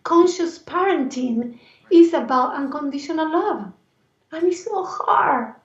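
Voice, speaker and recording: A woman from Argentina with an Argentine Spanish accent and a raspy voice, speaking earnestly and reflectively as an interview guest. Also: high voice, lively intonation, a little slow. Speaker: interview guest, life and movement coach. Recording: roomy echo, distant mic, dull sound.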